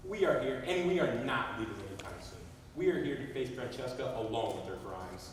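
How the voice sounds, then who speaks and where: A man voicing the vengeful ghost of a murder victim on stage, speaking slowly and ominously. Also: mid-range voice, animated, lightly acted. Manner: slow, ominous, taunting. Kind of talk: amateur stage acting. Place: stage in a large hall. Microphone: distant camera mic. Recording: echoey hall, distant mic, background noise.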